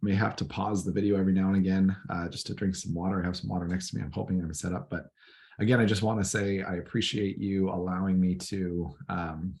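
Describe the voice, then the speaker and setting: A man with a raspy, hoarse voice giving a recorded lecture to student teachers, clearing his throat now and then. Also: low-pitched, fairly even intonation, a little mellow. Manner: clears throat often, measured explaining tone. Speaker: teacher educator.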